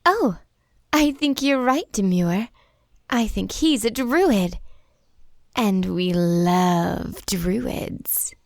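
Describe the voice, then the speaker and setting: A woman's voice: medium pitch, lively intonation, very slow, gravelly, a little mellow, no echo, clean studio sound, full character voice. A woman playing a seductive forest nymph in a fantasy audio drama, coy and drawling. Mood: admiring.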